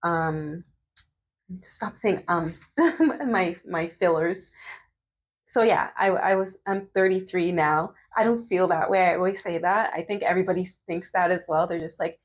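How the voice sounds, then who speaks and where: A woman's voice: on the low side, unhurried. A woman in her thirties vlogging while doing her hair, chatting casually with lots of fillers and restarts. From Canada. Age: 30s. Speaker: medical student and vlogger.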